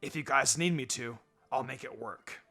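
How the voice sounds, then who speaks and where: A narrator playing an upbeat ninja boy of twelve, full of determination. The voice sits a little low in this storybook reading, with soft music underneath. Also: moderate pace. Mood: determined.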